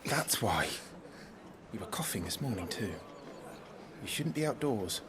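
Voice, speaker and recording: A man's voice: lowish voice, very animated, measured pace, background noise. A man playing a dutiful young nobleman swordsman in a fantasy video game, earnest, restrained and protective. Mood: gently concerned.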